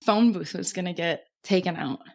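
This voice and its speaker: A woman co-hosting a podcast in casual chat, expressive and slangy, reacting freely to her partner. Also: lowish voice, normal speed, vocal fry. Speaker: podcast co-host.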